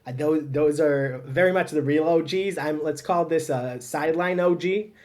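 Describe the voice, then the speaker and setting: A man's voice: a little high, moderate pace, a little mellow. A man, a startup founder chatting casually and self-deprecatingly as a podcast guest. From Israel. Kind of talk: casual interview conversation.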